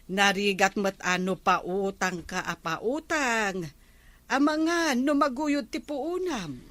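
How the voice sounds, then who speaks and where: A woman's voice: medium pitch, expressive, slow, a bit bright, dry recording. A woman with an Ilocano accent playing an older, easily offended village store keeper in a comedy drama. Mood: concerned, advising.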